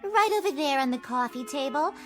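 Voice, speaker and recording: A chatty, wry woman in on a scheme, in 1960s TV drama style. Her voice sits high and swoops in pitch. Music plays behind her. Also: animated, a little fast. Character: bright-eyed, wry woman accomplice in a betting scheme. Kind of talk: 1960s television drama acting.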